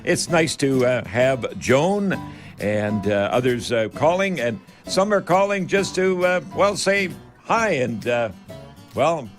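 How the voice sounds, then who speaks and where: A Canadian man hosting a call-in radio show, chatting casually in a folksy, rambling, halting way. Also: mid-range voice, big pitch swings, soft background music. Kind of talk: casual live radio chat.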